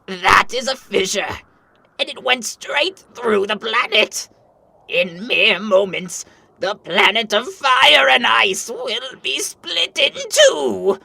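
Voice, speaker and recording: A woman playing a grave, noble leader of an ice people in an animated fantasy, solemn and deliberate. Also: a little high, creaky, husky, no echo, full character voice. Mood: dismayed.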